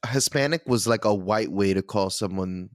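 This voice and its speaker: A man with a fairly low voice takes part in a casual podcast chat. He talks conversationally as a panelist, and the sound is dry and close. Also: measured pace, no echo.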